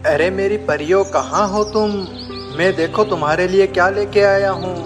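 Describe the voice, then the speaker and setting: A man voicing a doting, gentle pigeon father in a cartoon story. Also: a little high, moderate pace, long reverb tail, over music, natural voice. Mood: affectionate. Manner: affectionate. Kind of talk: Hindi cartoon story dialogue.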